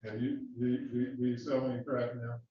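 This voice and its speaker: A man cross-examining a witness in a courtroom, questioning in a halting way with many fillers and restarts. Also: medium pitch, flat delivery, a little hiss or hum. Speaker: defense attorney questioning a witness. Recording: echoey, distant, dull courtroom audio.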